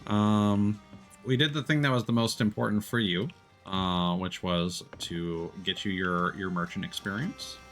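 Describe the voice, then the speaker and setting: Male game streamer, low register with some fry, relaxed and hesitant casual chatter. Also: unhurried, faint music behind. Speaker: livestreamer hosting a co-op game. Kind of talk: casual gaming chat.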